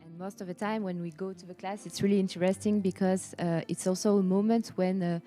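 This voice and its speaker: A woman who teaches, speaking haltingly with many fillers. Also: medium pitch, steady tone, warm tone, soft background music.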